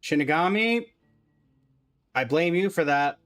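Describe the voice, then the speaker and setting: A man's voice: on the high side, lively intonation, unhurried, fairly bright tone, faint music behind. Male streamer reacting casually to a game. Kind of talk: casual live gameplay reaction.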